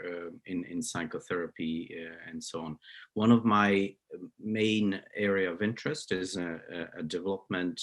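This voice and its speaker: A middle-aged man with a Slovak accent delivers a psychology lecture in a very low, husky voice. He speaks hesitantly, filling pauses and restarting phrases as he explains. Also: a bit bright. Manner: hesitant, many fillers and restarts. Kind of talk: recorded lecture.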